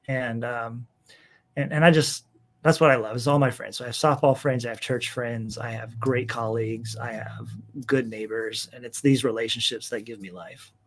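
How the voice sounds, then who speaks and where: A man's voice: medium pitch, fairly even intonation, moderate pace, a bit bright. A middle-aged man chatting as a guest on a podcast, in a plain mid-range voice. He rambles through lists with lots of "um" and "you know," and laughs easily. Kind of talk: casual interview conversation.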